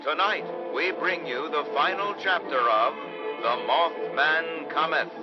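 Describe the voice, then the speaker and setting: An actor playing an ominous, theatrical host narrating an old-time horror radio serial. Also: male voice, fairly high pitch, unhurried, natural voice. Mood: suspenseful. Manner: theatrical, portentous. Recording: dull lo-fi old radio sound. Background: loud dramatic music.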